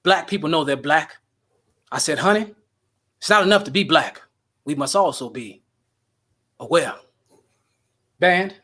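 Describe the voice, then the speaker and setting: A man's voice: fairly high pitch, expressive, unhurried, pauses often, bright, forceful tone, exaggerated voice. A man playing an earnest, self-important lounge singer with a showy, dramatic manner in a comedy table read. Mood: earnest, pompous.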